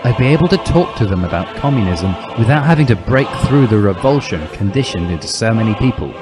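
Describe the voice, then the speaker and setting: A man's voice: lowish voice, lively intonation, fast talker, over music. A man narrating a comic dramatic reading of a first-person story.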